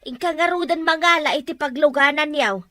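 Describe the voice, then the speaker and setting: A woman's voice: sits high, fairly quick, sharp tone, no echo. A woman with a Filipino accent playing a practical, outspoken mother in an audio drama. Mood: matter-of-fact. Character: practical, outspoken mother fussing over family. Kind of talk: Ilocano audio drama acting.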